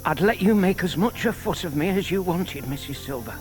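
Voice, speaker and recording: Shy, high-pitched lovelorn gentleman. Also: lively intonation, brisk, faint music behind, low-quality recording. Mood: lovelorn, yearning. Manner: hesitant, earnest, murmured asides. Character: shy, lovelorn older bachelor, gentle and earnest. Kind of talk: audio drama acting.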